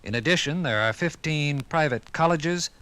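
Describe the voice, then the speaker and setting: A man's voice: fairly high pitch, normal speed, pushed and bright, slight background noise. An American man giving a formal, scripted 1950s radio presentation as an academic guide. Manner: formal, enumerating. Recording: vintage 1950s radio recording.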